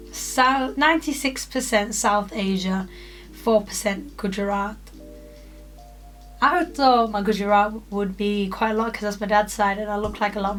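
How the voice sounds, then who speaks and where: A woman's voice: mid-range voice, fairly bright tone. A British woman in her twenties from the UK, chatting casually to camera in a rambling vlog with lots of fillers. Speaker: YouTuber.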